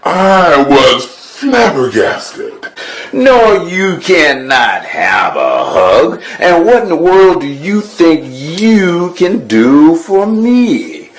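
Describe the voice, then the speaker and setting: A man narrating as a proud, grumpy male lion king in a storybook, slow, grand and huffy, growling now and then. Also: fairly high pitch, big pitch swings, heavily acted. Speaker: first-person narrator.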